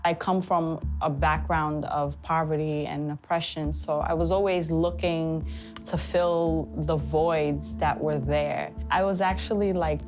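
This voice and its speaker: A woman's voice: deep voice, fairly even intonation, unhurried, a little mellow, soft background music. A woman speaking casually in an interview about her past, with conversational fillers. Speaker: trafficking survivor. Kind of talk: interview testimony.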